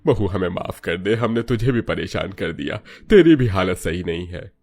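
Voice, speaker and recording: A man's voice: low voice, animated, moderate pace, gravelly, a little mellow, exaggerated voice. A man voicing an older father-in-law, hearty head of a household, in a cartoon story with an emphatic, patronising manner. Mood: shocked, apologetic. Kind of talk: Hindi cartoon story voice acting.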